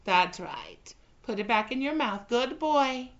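A woman speaks slowly and deliberately, her tone teasing yet commanding. The audio is slightly dull and muffled. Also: fairly high pitch, lively intonation. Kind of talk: scripted audio roleplay.

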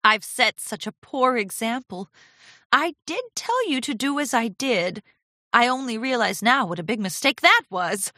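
A woman's voice: on the high side, expressive, a little slow, bright, forceful tone, dry recording, clean studio sound, pitched above the performer's own voice. A woman voicing a glamorous, self-assured female pony fashion designer in a storybook, with a grand, affected, dramatic manner. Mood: contrite.

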